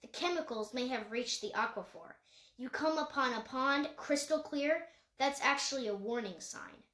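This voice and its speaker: A woman's voice: high voice, moderate pace, fairly bright tone. A woman voicing a cautious, practical female guardian in a storybook, with a careful, instructive manner. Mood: cautioning. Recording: echoey room.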